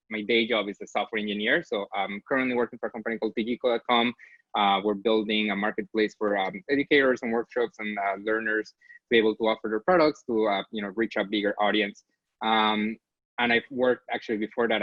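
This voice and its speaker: A man's voice: on the low side, no echo, dull audio. A man from Colombia, introducing himself on a panel with many "like" and "um" fillers. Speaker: panelist, software engineer.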